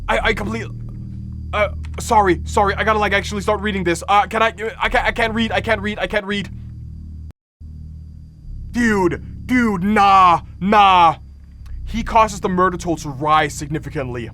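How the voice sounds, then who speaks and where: High-voiced young man reacting on stream. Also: normal speed, soft background music. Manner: animated, exclamatory, rambling. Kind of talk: casual live reaction commentary.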